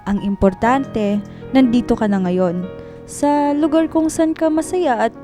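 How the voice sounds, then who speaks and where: A woman's voice: a little high, measured pace, mellow, soft background music, lightly acted. A woman playing a supportive, teasing young best friend in a radio drama, with an encouraging manner and a Filipino accent. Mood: reassuring. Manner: encouraging, playful. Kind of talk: radio drama acting, conversational.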